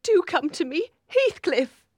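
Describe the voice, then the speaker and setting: A woman narrator acts out a dying young wife in a storybook, her voice high and close in a dry recording. She is bitter and passionate, pleading with intense drama. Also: sing-song, a little slow, no room sound, full character voice. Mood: soft pleading.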